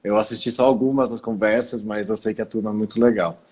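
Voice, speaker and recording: A man from Italy, speaking as a guest on a video call through his phone, calmly explaining things and often pausing to collect his thoughts. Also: on the low side, breathy edge. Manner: calm, explanatory, pauses often to gather thoughts. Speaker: guest, cultural center founder. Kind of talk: casual video call interview.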